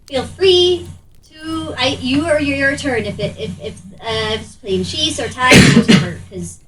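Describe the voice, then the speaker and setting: Hesitant high-voiced woman playing a tabletop game. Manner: hesitant, halting. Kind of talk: casual in-character role-play. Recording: distant mic, faint noise.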